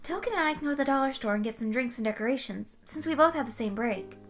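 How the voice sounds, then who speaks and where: A woman's voice: high-pitched, animated, fast talker, faint music behind, pitched above the performer's own voice. A woman voicing a practical, questioning female human resources rep in an audiobook, matter-of-fact in manner. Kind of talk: audiobook character voice.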